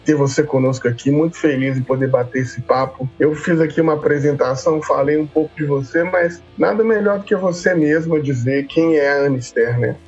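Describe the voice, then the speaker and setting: A man's voice: a little high, a little fast, few pauses, darkish tone, faint music behind. A man hosting a podcast, greeting listeners and introducing guests in a friendly, welcoming way. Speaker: podcast host. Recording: dull lo-fi recording.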